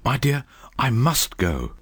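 Husky, swooping pompous vicar. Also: female voice, very deep voice, sing-song, slow pace, pitched below the performer's own voice, full character voice. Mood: grave, flustered. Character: flustered middle-aged country vicar, earnest and proper.

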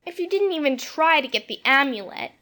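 A man doing a high, lively little girl. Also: a little fast, heavily acted. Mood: eager. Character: chatty eager little girl. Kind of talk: audiobook character voice.

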